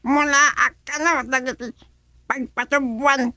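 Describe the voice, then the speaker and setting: A woman playing a scheming, eager underling in a radio comedy drama, with a sly, drawn-out, cackling delivery. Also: high-pitched, fairly even intonation, sharp tone, no room sound, studio quality, exaggerated voice. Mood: sly, gloating.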